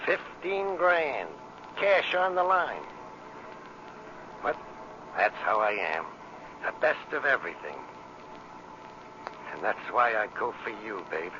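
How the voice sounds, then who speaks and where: A man's voice: medium pitch, sing-song, slow, pauses often. An actor playing a cocky, flirtatious tough guy with slangy, smug swagger in a 1940s radio drama. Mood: boastful. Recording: muffled, noisy old radio recording.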